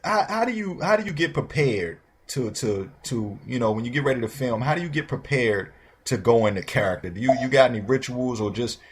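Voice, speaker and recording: A man hosts a casual conversational interview in an informal, slangy way. His mid-pitched voice has a mild huskiness, and he readily offers encouraging affirmations. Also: lively intonation, brisk, breathy edge. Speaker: interview show host.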